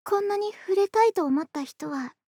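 Female actor: sweet, affectionate girl-next-door adult for ASMR roleplay; extremely high, gentle, polite delivery, dry close-mic sound. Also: animated, moderate pace, no room sound. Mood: shy, tender, intimate. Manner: soft, gentle, polite, intimate murmuring with breathy whispers. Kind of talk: ASMR situation voice drama. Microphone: close dry studio microphone.

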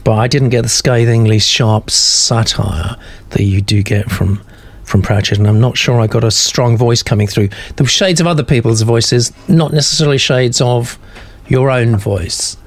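A man's voice: medium pitch, moderate pace, no room sound. A man hosting a panel discussion of a writing sample, chatty and affirming, reading out viewer comments. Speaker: host of a writing panel show.

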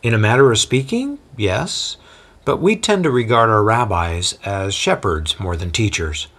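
Calm deferential traveling preacher, voiced by a man, soft spoken, lively pitch. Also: measured pace, husky, exaggerated voice. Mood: measured. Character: gentle, reassuring traveling apostle, man.

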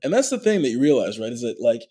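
A man, an actor, talking in a casual interview, animated and full of restarts, with a somewhat nasal voice. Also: mid-range voice, fast talker, breathy edge. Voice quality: nasal natural voice. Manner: animated storyteller, many fillers and restarts. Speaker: actor and voice actor, podcast guest.

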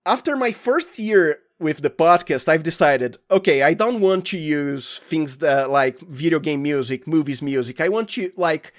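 A man's voice: a little high, expressive, measured pace, few pauses. A man with a Brazilian accent and Portuguese as his first language, chatting casually as a podcast guest and searching for his words. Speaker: podcast guest, audio fiction producer. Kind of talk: casual interview chat.

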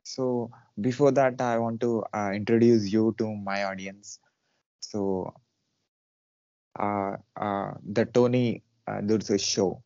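A man hosting an interview, putting his questions in a halting, conversational way. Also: lowish voice, steady tone, dark tone, dry recording, dull audio. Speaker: interviewer, podcast and channel host.

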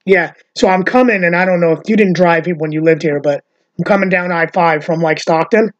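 A woman's voice: on the low side, fairly quick. A woman hosting a loose, unscripted podcast conversation with her sister. She rambles from story to story, leaning on "like" and dropping casual swears. The recording sounds a little dull and lo-fi. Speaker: podcast host. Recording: dull lo-fi audio.

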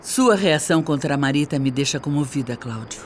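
Man playing a high-pitched calculating wife in radio drama. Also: animated, a little fast, over loud music, natural voice. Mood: smooth, calculating. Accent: Brazilian. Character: calculating wife and mother, scheming while sounding civil.

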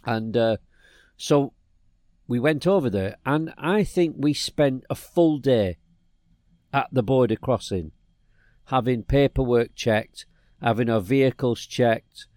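A man's voice: medium pitch, slow pace, a little mellow, no echo, studio quality. A middle-aged man from England, co-hosting a podcast and telling a rambling story with many restarts. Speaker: podcast co-host. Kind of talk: casual chat.

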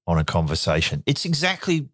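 Lively deep-voiced interviewer. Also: male voice, fairly quick, no echo, clean studio sound. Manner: curious, prodding questions. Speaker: podcast co-host, interviewer. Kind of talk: casual podcast interview.

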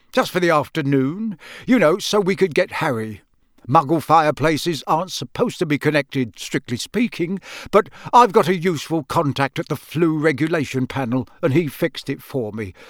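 A man acts out a cheerful wizard father from a storybook, middle-aged and easily flustered. He rambles and apologises with eager excitement in a fairly high male voice. The sound is very dry. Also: no room sound, lightly acted.

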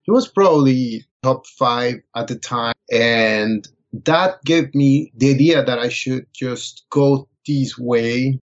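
A man from Colombia, speaking as a guest and telling his story in a relaxed, thoughtful way. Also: medium pitch, slow pace, dull audio. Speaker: guest photographer. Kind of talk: casual interview answers.

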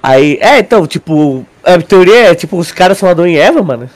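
A man's voice: high voice, animated, fast talker. A young man with a Brazilian accent in a casual, slangy podcast chat as co-host.

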